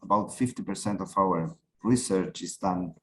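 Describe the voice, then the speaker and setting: Man, middle-aged, lowish voice, faint background hiss, halting delivery with many fillers. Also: poor audio. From Chile. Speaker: university rector. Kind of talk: panel talk.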